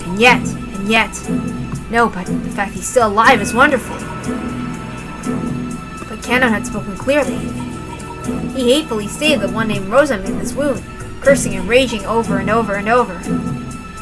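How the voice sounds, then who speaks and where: A woman's voice: mid-range voice, normal speed, long pauses, over loud music. A woman reading visual novel narration aloud in a dramatic storytelling style.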